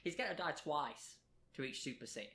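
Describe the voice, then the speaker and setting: A man's voice: a little high, expressive, normal speed, a bit bright, slight background noise. A man co-hosting a casual podcast from a kitchen table at home, talking eagerly and rambling a bit. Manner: enthusiastic, rambling, repeats words. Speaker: podcast co-host. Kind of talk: casual chat.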